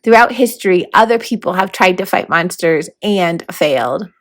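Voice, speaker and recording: A woman's voice: fairly low pitch, lively intonation, normal speed, close to an everyday voice. A woman voicing a formal, fussy, stern male horned toad mentor in a storybook read-aloud. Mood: grave. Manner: proper, grandly worded, matter-of-fact.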